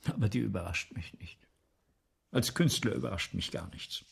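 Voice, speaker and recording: Very husky, vain aging playwright. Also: male voice, lowish voice, moderate pace, whispered, exaggerated voice. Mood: scornful. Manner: brooding, self-important, declamatory. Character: vain, aggrieved aging French playwright, self-pitying and scornful. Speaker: radio play actor. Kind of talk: 1970s German radio play acting.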